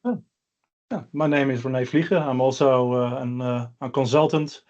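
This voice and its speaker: A man from the Netherlands hosting an online panel, putting steady, plain questions to his guests. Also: medium pitch, moderate pace, dull audio. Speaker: host and consultant.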